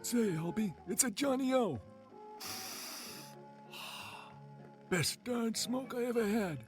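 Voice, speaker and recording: Man doing a very high, chipper radio-ad smoker. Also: animated, drawn-out words, over music, exaggerated voice. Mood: chipper, contented. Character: chipper male smoker in a parody vintage cigarette ad. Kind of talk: 1940s radio ad acting.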